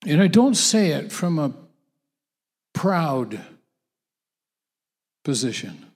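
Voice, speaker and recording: Male preacher, slow and emphatic, slightly high voice, long hall reverb. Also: sing-song, darkish tone. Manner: emphatic, repetitive, with halting restarts. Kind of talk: sermon to a conference audience.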